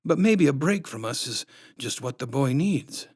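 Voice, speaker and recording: A narrator voicing a father who nervously tries to calm everyone down. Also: mid-range voice, normal speed, no room sound, clean studio sound. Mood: placating. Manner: nervous, smoothing things over.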